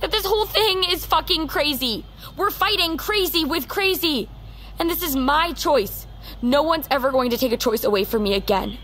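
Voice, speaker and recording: A woman's voice: high-pitched, animated, moderate pace, a bit bright. An actress playing a headstrong, pleading young American woman, stubborn and wounded, in a naturalistic audio drama. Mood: angry, defiant. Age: young adult.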